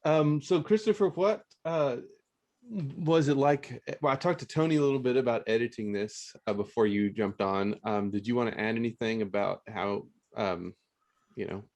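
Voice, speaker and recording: A man's voice: mid-range voice, moderate pace, darkish tone. A man hosting a podcast about books. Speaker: podcast host. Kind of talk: scripted book news read aloud, then casual interview.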